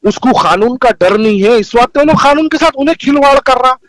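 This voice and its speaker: A man's voice: extremely high pitch, lively intonation, fairly quick, a bit bright, no room sound. A man with a Hyderabadi accent explaining at length on a recorded phone call, emphatic and insistent, often repeating himself. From Hyderabad, India. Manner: insistent, emphatic, repeats phrases and demands to be heard. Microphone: telephone.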